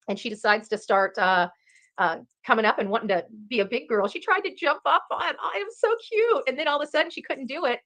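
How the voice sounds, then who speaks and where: Bright, high-voiced woman commentator. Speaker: online true crime commentator. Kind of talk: livestream case commentary and casual chat.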